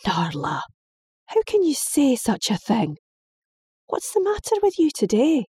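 A woman's voice: lowish voice, animated, unhurried, dark tone, husky, dry recording, studio quality, exaggerated voice. A woman voicing a stern, weary middle-aged father, lecturing patiently but firmly.

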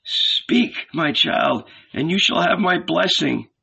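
A man's voice: a little high, animated, creaky, bright, forceful tone, slightly rough, muffled recording, voice pushed up, exaggerated voice. A man voicing a frightened old rich man, trembling and stammering, pleading reverently in a storybook reading. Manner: stammering, halting.

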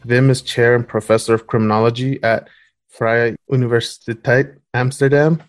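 Flat-voiced man reading an intro. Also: mid-range voice, moderate pace, soft background music. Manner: even, reading a formal bio. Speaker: podcast co-host. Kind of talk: scripted guest introduction.

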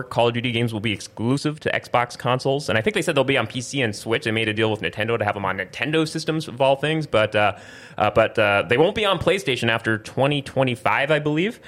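A man's voice: mid-range voice, fairly bright tone. A man hosting a podcast, talking casually through news in a rambling way that doubles back on itself. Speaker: podcast host.

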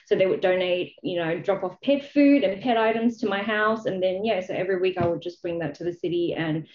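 A woman's voice: fairly low pitch, brisk. A woman from Malaysia talking casually and explaining her experience as an interview guest, often adding "you know" as she goes. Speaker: charity founder, interview guest. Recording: dull, roomy, echoey sound.

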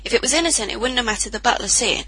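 A woman's voice: fairly high pitch, rapid-fire, fairly bright tone, husky. A woman playing a headstrong, impulsive young girl in an audio drama, defiant and urgent.

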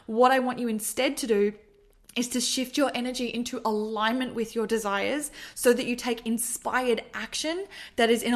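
A young woman talks alone into a podcast mic, earnest and circling back on her points with lots of "like" and "okay." Her voice sits a little higher than most women's. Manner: earnest, repetitive, filler-heavy with frequent "like". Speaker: podcast host and mentor. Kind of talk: solo podcast monologue.

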